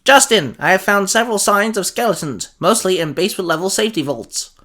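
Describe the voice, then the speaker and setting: A man performs an obedient robotic assistant for a sci-fi story. The voice is pitched up high and loud, with a formal, dutiful way of reporting. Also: expressive, a little fast. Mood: urgent report.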